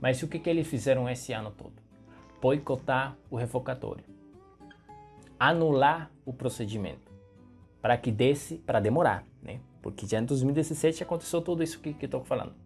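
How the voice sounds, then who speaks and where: A man's voice: mid-range voice, normal speed, gravelly, darkish tone, soft background music. A young man from Venezuela with a Venezuelan Spanish accent, a native Spanish speaker, giving emphatic political commentary straight to the viewer.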